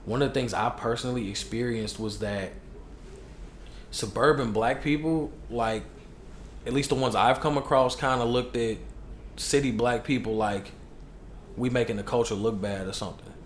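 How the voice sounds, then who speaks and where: A man's voice: mid-range voice, normal speed. A young man from Chicago with a Chicago accent, a hip hop artist talking candidly and in slang as a podcast guest. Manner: relaxed, slangy, candid storytelling. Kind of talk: casual podcast interview. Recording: echoey room with faint noise.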